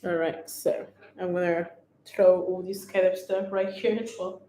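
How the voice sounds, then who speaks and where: A woman's voice: lowish voice, level tone, a little slow, mellow, slight background noise. Chatty Thai-accented woman in an echoey room. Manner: chatty, keeps repeating "right here", upbeat sales talk. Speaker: beauty livestream host. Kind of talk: casual product demo livestream.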